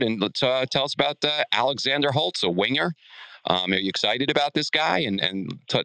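A man hosting a podcast in casual sports talk, asking questions in an informal, slightly halting way. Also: lowish voice, pushed and bright, no room sound, poor audio. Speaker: podcast host.

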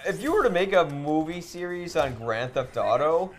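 A man's voice: fairly high pitch, lively intonation, normal speed. A man in a casual, informal podcast chat, talking loosely while playing a video game. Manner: informal, swearing. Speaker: podcast co-host.

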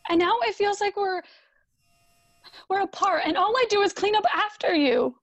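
A woman's voice: extremely high pitch, normal speed, no room sound, dull audio. A woman playing a hurt, reproachful young wife in a radio drama, emotional and plaintive. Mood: hurt, accusing.

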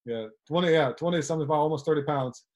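A man's voice: on the high side, very fast, very noisy. A man, a coach, chatting casually and often ending his points with a question. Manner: talkative, ends points with "right?". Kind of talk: casual podcast chat.

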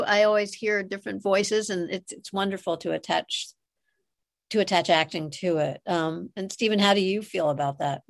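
A woman's voice: medium pitch, no room sound. A woman hosting a podcast interview, asking questions conversationally.

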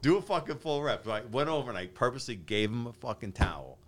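A man's voice: medium pitch, big pitch swings, fast talker. A man in his fifties who owns a gym, ranting bluntly and with plenty of swearing in casual podcast chat. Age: 50s. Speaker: gym owner and podcast regular.

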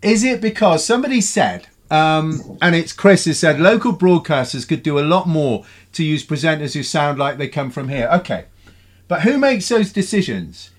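A man hosting a radio panel discussion, brisk and questioning as he steers the talk. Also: on the high side, big pitch swings. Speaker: radio presenter.

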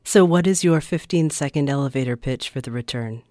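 A woman's voice: extremely low pitch, brisk, warm tone. An American woman hosting a radio segment, reading scripted introductions and putting questions to a guest. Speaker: radio interviewer and host.

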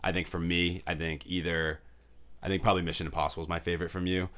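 A man hosting a casual interview, quick and affirming with slangy talk. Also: deep voice, level tone, fairly bright tone. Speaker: podcast host.

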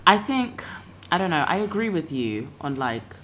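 Hesitant, low-voiced woman musing aloud. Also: big pitch swings, moderate pace, fairly bright tone, slight background noise. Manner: thoughtful, hesitant, searching for words. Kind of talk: casual conversation.

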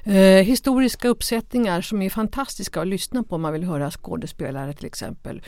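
A woman's voice: mid-range voice, darkish tone, no room sound, studio quality. A woman chatting on a podcast as an academic co-host. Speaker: theatre professor, critic, co-host. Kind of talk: conversational podcast talk.

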